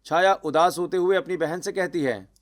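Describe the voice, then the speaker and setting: A man reading scripted narration for an animated family drama story. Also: high voice, moderate pace. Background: music.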